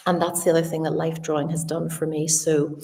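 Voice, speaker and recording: Gravelly, low Northern Irish woman. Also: little pitch movement, a little fast, dark tone, big-room sound. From Northern Ireland. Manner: chatty, talks directly to viewers. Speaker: artist livestreaming to viewers. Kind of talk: casual live chat to viewers. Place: large old house, indoors.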